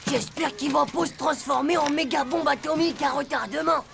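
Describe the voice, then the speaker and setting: Cartoon lead: cocky, melodramatic little boy, very croaky and high, music underneath. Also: brisk. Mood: scheming. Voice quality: creaky, gravelly. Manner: dramatic, slangy, cocksure. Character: excitable naive mischievous young boy, melodramatic schemer. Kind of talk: French animated cartoon acting. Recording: music bed under dialogue.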